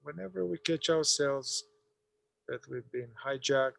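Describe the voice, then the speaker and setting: A man leading a guided meditation, speaking in an unhurried, halting way with long pauses. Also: medium pitch, vocal fry, fairly bright tone, dry recording.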